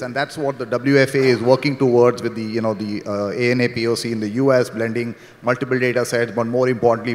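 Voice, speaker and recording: A man on a conference panel explaining his view step by step, with fillers, speaking into a handheld mic. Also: mid-range voice. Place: large conference stage with a long reverb. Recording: hall reverb, stage PA.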